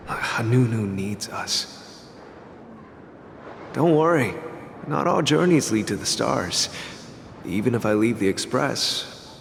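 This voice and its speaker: A man's voice: medium pitch, vocal fry, long reverb tail, a little hiss or hum. A man voicing an earnest, idealistic adventurer in a video game cutscene. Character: earnest, idealistic male adventurer devoted to freedom.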